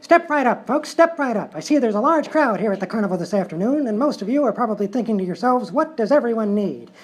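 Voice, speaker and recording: A man playing a bombastic old-time medicine-show pitchman with repetitive barker patter in a live comedy show. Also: expressive, quick pace. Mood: huckstering.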